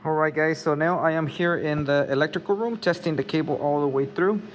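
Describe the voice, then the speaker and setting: A man's voice: on the high side, a little fast, lo-fi sound. Man narrating a job site, background noise. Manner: informal, explanatory, addresses viewers directly. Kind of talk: casual on-site walkthrough. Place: work site, partly outdoors. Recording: noisy job-site ambience, vehicle noise.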